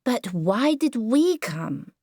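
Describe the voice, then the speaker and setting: A woman's voice: fairly high pitch, sing-song, slow pace, dark tone, no echo, studio quality, voice pushed up, heavily acted. A woman voicing a tired, persistent little boy with an English accent in an audiobook, whiny and insistent. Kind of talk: dramatised audiobook character dialogue.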